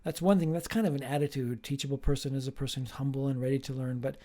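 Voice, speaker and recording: A man, a professor, giving a slow, emphatic recorded lecture with playful asides. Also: mid-range voice, steady tone, mellow.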